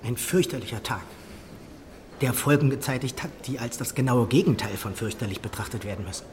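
A man's voice: mid-range voice, slight background noise, close to an everyday voice. Man playing an earnest, restrained Regency naval captain. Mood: grave, restrained. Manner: measured, formal, earnest. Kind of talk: German radio drama dialogue.

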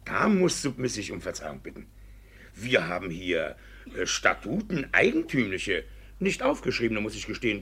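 A man's voice: on the low side, fairly bright tone, slightly rough, exaggerated voice. A man playing a shifty, flustered, blustering village judge in a classical verse radio drama, stammering and pompous. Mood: obsequious, nervous.

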